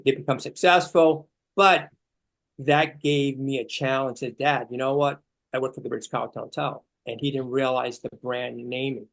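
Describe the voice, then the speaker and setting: Gravelly man from Chile. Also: mid-range voice, rough recording. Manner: rambling, reflective storytelling with many fillers. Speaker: chef. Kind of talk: casual panel talk.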